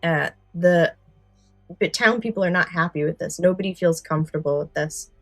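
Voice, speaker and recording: A woman's voice: lowish voice, moderate pace, dry recording, faint music behind. A woman co-hosting a podcast in casual chat, recounting favourite stories and often correcting herself. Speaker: podcast co-host.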